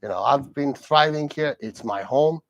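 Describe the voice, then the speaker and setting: A man's voice: medium pitch, normal speed, no room sound. A man born and raised in Iran, hosting a solo live commentary in English, earnest and repeating himself, with many fillers and restarts. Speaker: host.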